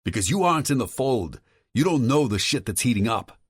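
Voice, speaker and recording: A man's voice: mid-range voice, big pitch swings, a little fast, husky, no room sound, voice pushed up. A man voicing an agitated brother who warns urgently over the phone. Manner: urgent, swearing, insistent.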